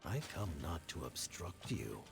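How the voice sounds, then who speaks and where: An actor playing an imposing, solemn villain in a video game, speaking in a measured, portentous, formal manner. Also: male voice, deep voice, sing-song, vocal fry, heavily acted. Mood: solemn, persuasive. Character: imposing, solemn male villain recruiting a wanderer. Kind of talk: dramatic video game acting. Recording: loud music behind the voice.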